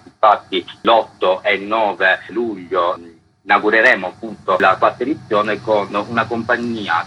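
Deep, crisp-edged man, measured phrasing. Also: pushed and bright, a little hiss or hum, low-quality recording. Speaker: festival co-artistic director, interview guest. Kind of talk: explanatory radio interview.

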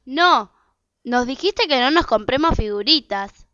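High-voiced, outspoken little daughter played by a woman. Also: lively intonation, unhurried, pushed and bright, smooth, dry recording, dull audio, full character voice. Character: young schoolgirl daughter, questioning and outspoken. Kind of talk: amateur radio drama acting.

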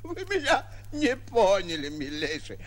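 A man playing a pompous, excitable French adventurer in a 1940s radio play, declaiming theatrically with stammers, on a noisy old recording. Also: high-pitched, a little slow. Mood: self-important.

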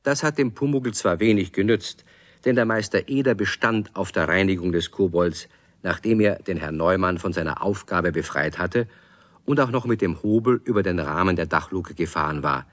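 A man's voice: low voice, moderate pace, lightly acted. A man giving scripted narration as the storyteller of a children's radio play.

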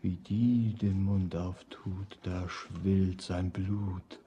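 An actor playing a brooding, visionary bridegroom in a radio drama, reciting verse in a solemn, incantatory manner. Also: male voice, sits low, level tone, extremely slow, warm tone, slight background noise, full character voice. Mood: solemn, foreboding. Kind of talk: poetic radio drama acting. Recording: dull lo-fi radio recording.

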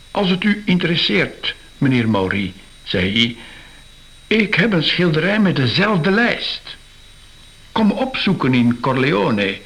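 Courteous curator, mid-pitched, highly varied intonation, reflective, radio play. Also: male voice, a bit bright, full character voice, rough recording. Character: courteous, thoughtful male museum curator. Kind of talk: radio drama acting.

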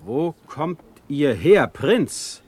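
Stern prussian elector, slowish, higher male voice, formal verse radio play, very dry sound. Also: big pitch swings, darkish tone, breathy edge, dry recording, full character voice. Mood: cool command. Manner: formal, declamatory, authoritative. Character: stern commanding Prussian Elector, unbending ruler. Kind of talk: classical German verse radio drama.

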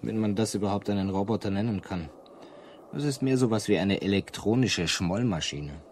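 A man's voice: on the low side, moderate pace, darkish tone, a little hiss or hum, close to an everyday voice. An actor playing a bewildered, polite Earth man in a radio play, fussy and puzzled with a grumbling streak. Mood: disapproving.

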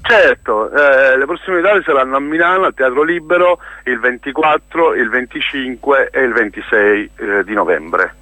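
A man with a bright, forceful voice, recorded dry and close. He talks as a theatre maker interviewed on radio, searching for words, doubling them back and leaning on them for emphasis. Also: mid-range voice, dry recording. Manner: halting, repeats words while searching, emphatic. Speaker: theatre actor and playwright, interview guest. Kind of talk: spoken radio interview, storytelling.

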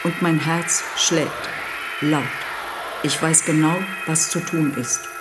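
Composed bossy woman, terse, really slow and low, audio drama w music. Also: steady tone. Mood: tense, inward. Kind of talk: scripted audio drama acting.

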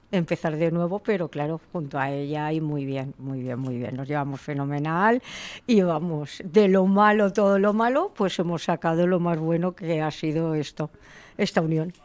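Low-voiced woman in her fifties. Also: moderate pace, darkish tone. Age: 50s. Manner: plain, matter-of-fact, resigned. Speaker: shop owner. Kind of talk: interview answers. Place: a shop.

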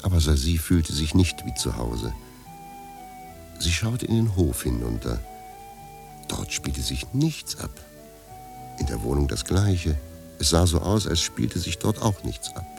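A man narrating a radio play with dry wit. His voice is extremely deep and gravelly, moving in lively swings, over faint music. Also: a little slow, long pauses.

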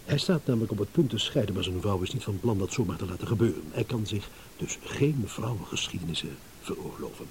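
An actor playing a shrewd, amused businessman in a radio drama, teasing and insinuating with hesitant pauses. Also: fairly low pitch, expressive, darkish tone, close to an everyday voice. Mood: scheming. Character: shrewd, amused businessman, a blackmail victim turning schemer. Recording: hissy old radio play recording.

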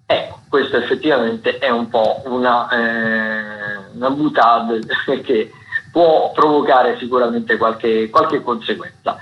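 A man presents listings on the radio in an informal, wandering style. His voice sits at an average pitch, and he stumbles, backtracks and corrects himself as he goes. The sound is a little dull, with clear room echo around the voice. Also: fairly even intonation. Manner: halting, digressive, self-correcting. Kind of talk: informal spoken radio listings of upcoming shows. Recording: roomy, slightly dull radio broadcast sound.

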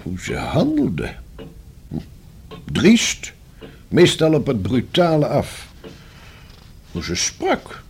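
Stiff discreet gentleman in a dutch radio drama, husky, measured, wary, very formal with the police. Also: mid-range voice, huge pitch swings, full character voice. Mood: reluctant, curt. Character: guarded, formal, discreet male professional under police questioning. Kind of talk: Dutch radio play acting.

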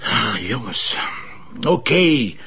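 An actor playing a headstrong, defensive police commissioner in a crime drama, with a Flemish accent and a colloquial, insistent way of talking. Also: male voice, mid-range voice, sing-song, extremely slow, pushed and bright, husky, lo-fi sound, exaggerated voice. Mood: exasperated. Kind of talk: naturalistic TV crime drama dialogue.

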